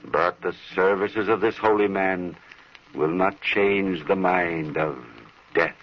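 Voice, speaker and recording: An actor playing a grave, theatrical narrator of an old-time radio horror tale. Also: male voice, deep voice, slow pace, a little hiss or hum. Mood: grave, foreboding. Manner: theatrical, portentous. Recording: muffled old radio recording.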